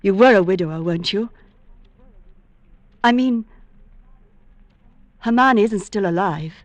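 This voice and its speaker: A woman's voice: mid-range voice, measured pace, dry recording, close to an everyday voice. A woman acting as a playful, innocent, curious young bride in a vintage radio drama, coy and affectionate. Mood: puzzled, uneasy.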